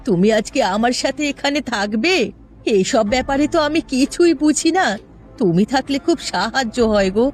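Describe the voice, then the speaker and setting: A woman's voice: sits high, lively intonation, moderate pace, faint music behind. A woman voicing an anxious, upright middle-aged village mother-in-law in a cartoon, earnest and motherly. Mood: worried. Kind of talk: cartoon voice acting.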